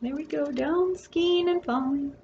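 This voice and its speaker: A woman hosting a storytime, reading a children's picture book expressively with dramatic pauses and casual asides. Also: sits high, dull audio. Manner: animated, dramatic pauses between phrases. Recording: roomy echo with faint background noise.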